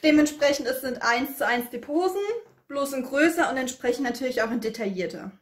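A woman's voice: sits high, expressive, fairly quick. A woman co-hosting an unboxing in casual review chat, recorded in a roomy, echoing space.

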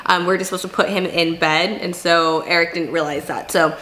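A young woman, a stay-at-home mom, talking casually to camera in a home video diary, hoarse and rambling with many fillers. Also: on the low side, fairly even intonation, a little fast, bright, forceful tone, slight background noise, low-quality recording. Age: young adult. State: hoarse, losing her voice. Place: home, bedroom and kitchen.

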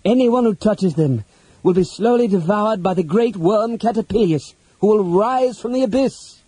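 Sinister wizard for a fantasy audiobook, played by a man: ominous hiss, raised pitch, husky. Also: moderate pace, dark tone, no echo, poor audio. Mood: ominous threat. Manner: hissing, ominous. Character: sly hissing male wizard, sinister and theatrical.

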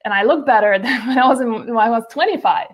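Woman in her forties, fairly high voice, chatty, video call guest. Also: fast talker. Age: 40s. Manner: chatty, many fillers and restarts. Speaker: guest, nutrition author. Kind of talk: casual interview talk. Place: home, by a window with plants behind.

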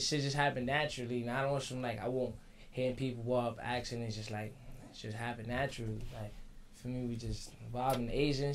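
A teenage man from the Bronx, a rapper guest answering in a laid-back, slangy, halting way in a radio studio. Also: medium pitch, a little hiss or hum. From the Bronx, New York. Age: teens. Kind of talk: casual interview. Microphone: studio mic on stand.

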